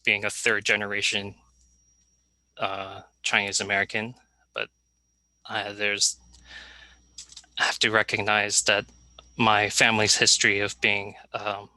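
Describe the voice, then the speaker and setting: A young college student speaks slowly and haltingly, pausing often between words. His low voice stays flat in pitch but has a sharp, bright edge. The sound is dry and close. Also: no echo, poor audio. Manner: halting, hesitant, many pauses.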